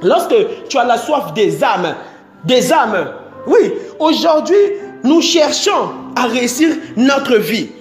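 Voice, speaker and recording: Male preacher, high voice, repetitive exhorting delivery, roomy sound with soft music behind. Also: very animated, slow. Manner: repetitive, exhorting, swings between loud and soft. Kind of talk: sermon. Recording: echoey room with faint music.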